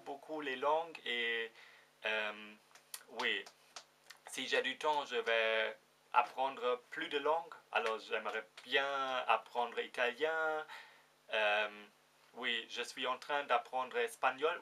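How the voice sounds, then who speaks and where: A young man from Germany in his twenties, speaking French with a German accent, talking to his viewers in a casual vlog and often pausing to search for words. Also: mid-range voice, sharp tone. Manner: hesitant, searching for words, many fillers. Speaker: vlogger. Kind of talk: casual direct-to-camera vlog.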